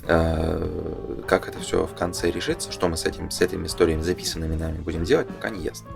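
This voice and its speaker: Man, very low voice, casual presenter, hesitant thinking-aloud commentary, clear music underneath. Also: steady tone, fast talker. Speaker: presenter reading through a game booklet. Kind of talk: casual unscripted explanatory commentary.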